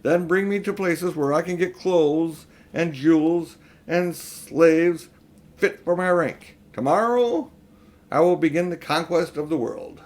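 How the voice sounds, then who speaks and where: A man's voice: a little high, measured pace, voice pushed up, close to an everyday voice. A man voicing a haughty, cruel witch queen in a children's storybook, domineering and scornful as she gives commands. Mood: commanding. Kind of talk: children's storybook read aloud.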